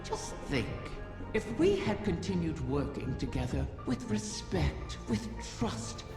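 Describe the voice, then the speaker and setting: A woman voicing an ancient female wish-granting dragon in a video game, wistful, sly and regal, with ornate, deliberate phrasing. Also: fairly low pitch, wildly swooping pitch, heavily acted. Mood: bitter, rueful. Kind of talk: slow theatrical game dialogue. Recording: long cavernous reverb, music behind.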